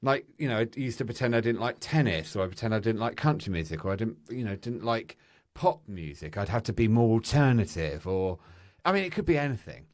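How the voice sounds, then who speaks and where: A loud, exuberant and flamboyant man, the podcast's co-host, talking candidly in casual chat on a studio mic. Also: on the low side, expressive, a little fast, no room sound.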